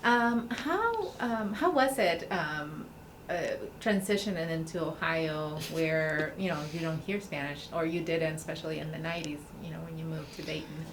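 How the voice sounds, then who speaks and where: Attentive woman interviewer, lower register, gentle questions, light background noise. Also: normal speed. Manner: attentive, frequent soft backchannels. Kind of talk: oral history interview.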